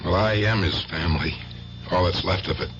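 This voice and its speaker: A man's voice: deep voice, a little fast, a bit bright, faint music behind. An actor playing an older, hearty, folksy nightclub owner and showman in a vintage radio drama. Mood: folksy, reassuring. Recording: noisy, dull vintage radio recording.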